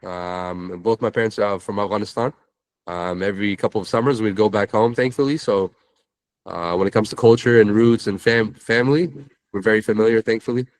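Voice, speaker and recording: A man's voice: lowish voice, steady tone, a little fast, dry recording, rough recording. A man answering as an interview guest, casual and reflective, often checking that the listener follows. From San Francisco Bay Area, California. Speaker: interview guest, social media creator.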